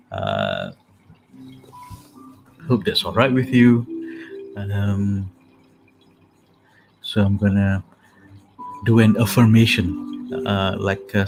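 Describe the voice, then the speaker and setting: A man with a calming, soothing voice hosts a casual bedtime talk. He speaks in a low register and very slowly, pausing often on soft fillers. Gentle music plays clearly behind him. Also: rough recording. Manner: soft-spoken, hesitant with many fillers. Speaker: host. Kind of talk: casual spoken sleep meditation talk.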